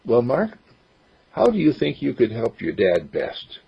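A man's voice: mid-range voice, huge pitch swings, a little slow, gravelly, breathy edge, lo-fi sound, heavily acted. Man voicing a patient, loving mother. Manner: soft, coaxing.

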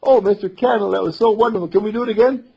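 Casting: man playing a wide-eyed little boy, high and husky, gushing with wonder, bedtime storybook style. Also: very fast, dull audio, full character voice. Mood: delighted. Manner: gushing, eager.

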